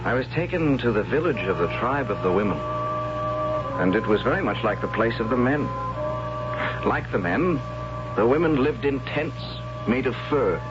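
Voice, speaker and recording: An actor playing a gentle, dreamy young captive in a radio drama, speaking in a measured, reflective, questioning way. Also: male voice, mid-range voice, animated, close to an everyday voice. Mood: reflective narrating. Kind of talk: 1970s radio drama acting. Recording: dull lo-fi 1970s radio broadcast with music.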